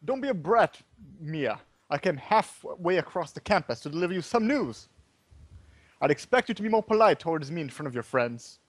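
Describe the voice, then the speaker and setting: A man's voice: fairly high pitch, big pitch swings, moderate pace. A man voicing a pompous, condescending young male student council president. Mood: haughty. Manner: haughty and condescending. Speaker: voice actor in a fan dub.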